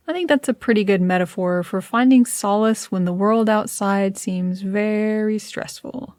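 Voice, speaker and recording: A woman narrates a guided meditation at an average pitch. She is measured and soothing, giving gentle instructions as if reading from a prepared script. The sound is dry, with no room echo.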